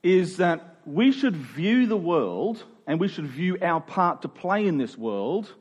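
A man giving a conversational sermon as a pastor, in a halting way, rephrasing and repeating phrases for emphasis. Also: high voice, sing-song, husky. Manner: halting, thinking aloud, with rephrasing and repetition for emphasis. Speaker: pastor, preacher.

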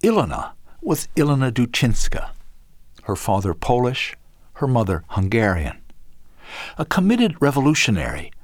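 A man reading scripted documentary narration in a measured storytelling delivery. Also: mid-range voice, huge pitch swings, no room sound.

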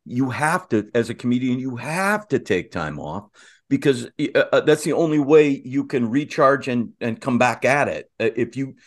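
Mid-pitched male co-host, halting delivery. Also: lively intonation. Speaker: podcast co-host. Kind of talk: conversational interview.

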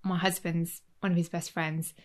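Chatty woman, low voice. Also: level tone, normal speed, darkish tone. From Leeds, Yorkshire, England. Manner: chatty, rambling, many fillers and restarts. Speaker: guest. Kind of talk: casual conversation. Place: home in Cornwall.

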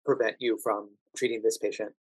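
An American man speaking as an interview guest, telling anecdotes conversationally with drawn-out emphasis on key words. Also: lowish voice, level tone, breathy edge, no echo, low-quality recording. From United States. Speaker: podcast guest, actor working as a standardized patient.

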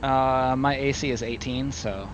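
A man's voice: medium pitch, steady tone, measured pace, muffled recording, a little hiss or hum. A man playing a tabletop role-playing game, bantering casually in a wry, mock-serious manner.

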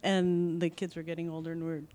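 A woman from Minneapolis, a guest parent, explaining things in an unhurried, thoughtful way. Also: fairly low pitch, little pitch movement, dark tone. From Minneapolis, Minnesota, USA. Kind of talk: casual interview answers.

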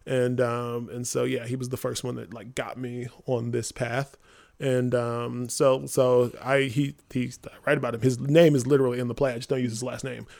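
A man hosting a podcast, a playwright telling stories casually in a halting, informal way. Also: mid-range voice, little pitch movement, gravelly, darkish tone. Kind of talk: casual storytelling chat.

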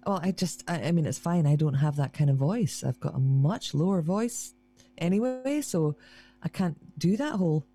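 Chatty low-voiced woman musician. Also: expressive, normal speed, dark tone, slightly rough, faint music behind. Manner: chatty, self-deprecating, restarts often. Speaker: guest musician, harpist. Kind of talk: casual conversational interview.

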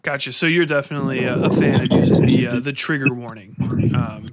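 A man hosting a casual podcast interview, speaking informally in halting phrases with repeated words. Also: mid-range voice, heavy background noise.

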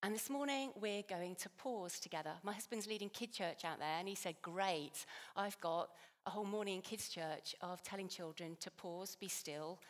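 A woman from England preaching a sermon in a chatty, self-deprecating way, her voice a little hoarse. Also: mid-range voice, normal speed. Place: church hall. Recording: long church reverb.

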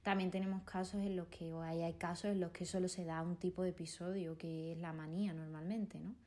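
Woman, psychologist, low voice, flat intonation, measured, explanatory. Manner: measured, explanatory, with hesitation fillers. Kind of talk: expert explanation in an interview.

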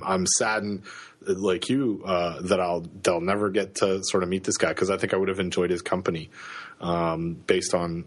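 A man with a cold talks casually as a podcast co-host, his voice low and husky. He speaks close to the microphone and keeps restarting his sentences with plenty of fillers. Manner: halting, many fillers and restarts. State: has a cold, sniffling. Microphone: close studio microphone.

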